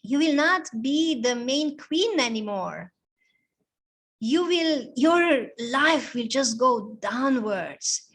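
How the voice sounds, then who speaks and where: A woman's voice: high-pitched, lively intonation, slow pace, a bit bright, exaggerated voice. A woman voicing a scheming, insistent female palace maid in a spoken story, pressing her points urgently.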